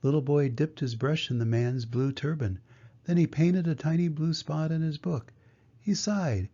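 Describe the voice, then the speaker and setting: Grandfatherly male storybook reader, older, gentle and measured, dark husky tone, muffled audio. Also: fairly high pitch. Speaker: grandfather reading a picture book aloud. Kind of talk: storybook narration for children. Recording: dull, muffled lo-fi sound.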